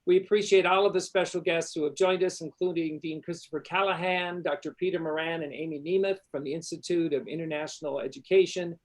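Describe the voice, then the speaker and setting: A man hosting an online graduation ceremony for a university journalism school, with a warm, upbeat, formal delivery. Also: on the high side, fairly even intonation, fairly quick, few pauses. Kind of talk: formal welcoming address.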